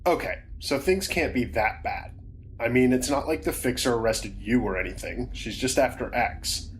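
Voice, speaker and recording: Mid-pitched male guard played by a man, blunt, doubting, by-the-book, casual wording, sci-fi audio drama with faint ambience. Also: normal speed, slight background noise, natural voice. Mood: reassuring. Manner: blunt, questioning, colloquial. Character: skeptical rule-following male security team member, jittery and blunt. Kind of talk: science fiction audio drama acting.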